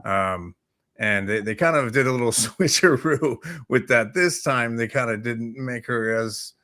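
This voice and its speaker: A man's voice: mid-range voice, measured pace, sharp tone. A man chatting casually as a talk show host, rambling and hesitant, often repeating his words. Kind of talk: casual chat.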